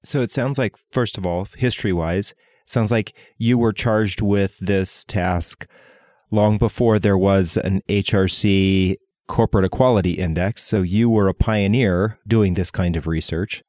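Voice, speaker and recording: A man's voice: on the low side, unhurried, a little mellow, dry recording, studio quality. A male podcast host delivering a scripted intro and then asking questions with emphatic energy.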